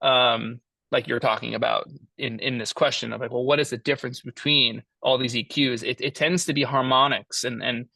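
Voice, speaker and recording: A man giving an informal lesson as an audio engineer, explaining his tools in a halting way as he thinks aloud. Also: medium pitch, steady tone, creaky, bright, forceful tone, no echo. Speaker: mixing engineer teaching a class.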